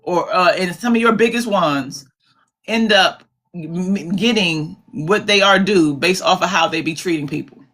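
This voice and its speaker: Casting note: bright, emphatic woman host, talks straight to viewers in a casual, repetitive style. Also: on the low side, a little slow. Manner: chatty, calls viewers "babies", repeats herself for emphasis. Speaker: commentary livestream host. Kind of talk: casual live commentary.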